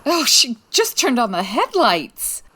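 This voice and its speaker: A woman playing a sassy, sharp female private detective in a comedic 1940s-style radio drama, with wry, teasing delivery. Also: fairly high pitch, huge pitch swings, slow pace, a little hiss or hum, exaggerated voice. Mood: excited, curious. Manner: wry, teasing, dry quips.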